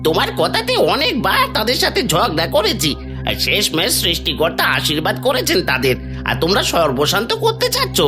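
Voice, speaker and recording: A man's voice: high voice, huge pitch swings, a little fast. A man playing an honest, exasperated village husband in a cartoon folk tale, reproachful and moralizing. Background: background music.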